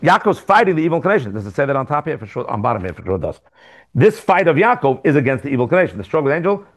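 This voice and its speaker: A rabbi giving a lively Torah class in a classroom, asking rhetorical questions with sudden jumps in pitch and loudness. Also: male voice, rapid-fire. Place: classroom with students.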